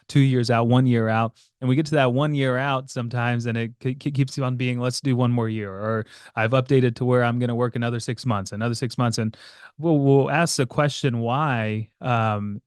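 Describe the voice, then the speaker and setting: A man co-hosting a podcast as a financial planner, explaining in a casual, halting way with many fillers. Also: mid-range voice, smooth, dry recording, clean studio sound. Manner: hesitant, many fillers and restarts.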